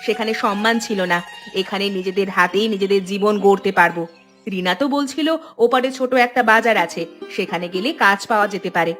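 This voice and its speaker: A woman's voice: mid-range voice, fast, fairly bright tone, faint music behind, lightly acted. A woman voicing a determined, fed-up village daughter-in-law in a cartoon, speaking in an earnest, resolute way. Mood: firm reassurance. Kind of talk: cartoon voice acting.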